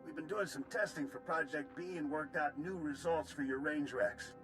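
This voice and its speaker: A man plays a chipper, folksy gunnery officer in a video game. His voice is a bit high and his delivery breezy and technical, over music. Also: moderate pace, close to an everyday voice. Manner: breezy, technical military jargon. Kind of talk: video game cutscene acting. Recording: game soundtrack music behind.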